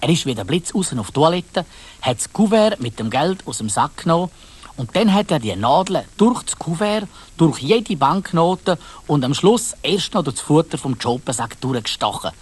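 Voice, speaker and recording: A man's voice: a little high, sing-song, moderate pace, slightly rough. A man with a Swiss German accent narrating a children's story in a colloquial way, addressing his listeners directly.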